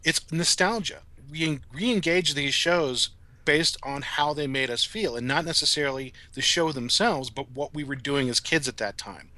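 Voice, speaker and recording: A middle-aged man with a bright, forward voice talks as a podcast guest. The sound is dry, with no room around it, and his delivery is casual and reflective. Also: on the high side, normal speed, no room sound.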